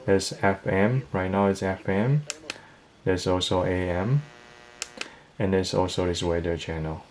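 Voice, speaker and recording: A man's voice: low voice, unhurried, a little mellow. A man giving a casual product review, explaining things step by step in a hesitant way.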